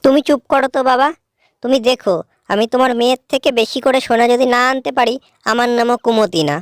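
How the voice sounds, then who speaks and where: A woman's voice: a little high, fast talker, clear, resonant tone, dry recording, clean studio sound, natural voice. A woman voicing a rude, greedy, jealous elder daughter in a cartoon story, with a bossy, snippy manner. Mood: defiant.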